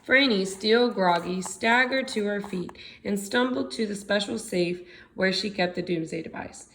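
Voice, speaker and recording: A woman's voice: mid-range voice, normal speed, a bit bright, slight background noise, poor audio. A woman with an American accent reading a storybook aloud expressively, as a teacher does for young children. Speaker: second grade teacher.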